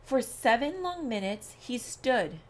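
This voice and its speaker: A woman storyteller reading a children's book aloud in a deliberate, expressive way. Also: on the high side. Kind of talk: children's read-along narration.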